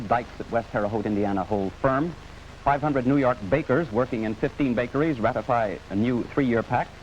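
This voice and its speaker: A man with an American accent anchoring a scripted newscast in a formal, measured announcer style. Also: medium pitch. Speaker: news anchor. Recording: dull 1950s broadcast, faint noise.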